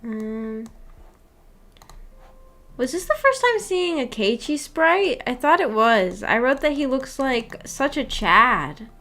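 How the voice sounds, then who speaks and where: A woman's voice: sits high, very animated, slow pace, sharp tone. A woman hosting a book club livestream, chatting casually in a giggly, rambling way and often questioning herself. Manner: giggly, laughs often while talking; rambling, self-questioning, puzzled. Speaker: streamer hosting a visual novel book club. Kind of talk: casual livestream chat reacting to a story.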